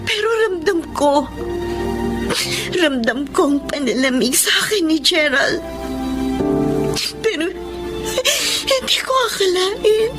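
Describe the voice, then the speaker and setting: An actress in a Filipino radio drama playing a gentle, heartbroken blind young wife, speaking tearfully. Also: female voice, very high voice, lively intonation, slow pace, long pauses, over loud music, exaggerated voice.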